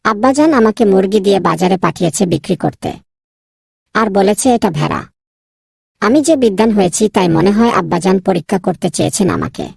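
A woman voicing a naive, proud young scholar son in a cartoon, easily exasperated, with a husky, creaky voice. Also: mid-range voice, fairly quick, darkish tone, no room sound, studio quality. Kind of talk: cartoon dubbing.

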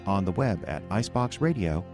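A man's voice: deep voice, animated, moderate pace. Male announcer over music. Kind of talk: scripted closing credits read.

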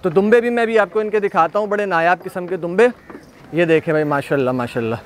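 A man's voice: high-pitched, quick pace. A man hosting an on-site walkthrough of livestock, chatting casually and repeating praise often. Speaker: livestock video host. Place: outdoor goat pen at a farm.

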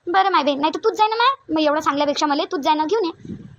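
A woman's voice: very high voice, fast talker, a bit bright, no echo, lo-fi sound. A woman with a Varhadi Marathi accent voicing a nagging, sarcastic older village mother-in-law in a family cartoon. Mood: impatient. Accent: Varhadi (Vidarbha) Marathi. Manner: scolding, sarcastic, demanding. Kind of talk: cartoon family comedy acting.

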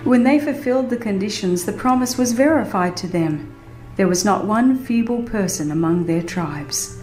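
A woman reading a religious book as a dramatized audiobook, with an earnest, measured and formal delivery. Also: medium pitch, lively intonation, darkish tone. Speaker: audiobook narrator. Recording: roomy reverb, faint background music. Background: faint music.

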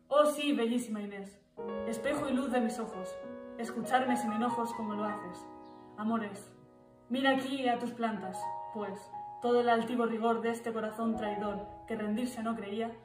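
High-voiced woman reciting verse, distant and echoey. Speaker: poetry reciter. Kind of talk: theatrical recitation of romantic verse drama. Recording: echoey room, distant mic, musical backing.

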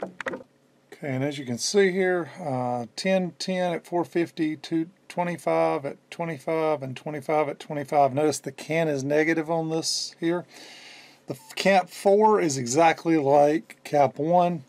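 A man in his fifties giving a casual hands-on demonstration at a workbench indoors, explaining in a halting way as he thinks aloud. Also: a little high, darkish tone. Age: 50s. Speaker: electronics restorer and presenter.

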